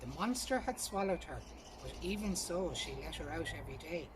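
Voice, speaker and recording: An Irish woman tells a traditional folk tale. Her voice sits a little low for a woman, and her phrasing is colloquial and unfussy. Birdsong and ambient noise are clearly heard behind her. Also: animated, moderate pace, distant mic. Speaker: storyteller reading a folk tale. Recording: noisy recording with birdsong behind.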